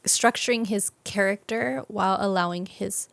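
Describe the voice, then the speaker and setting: A woman's voice: medium pitch, moderate pace, gravelly, fairly bright tone, dry recording. A woman, a young adult, talking reflectively and casually as an interview guest.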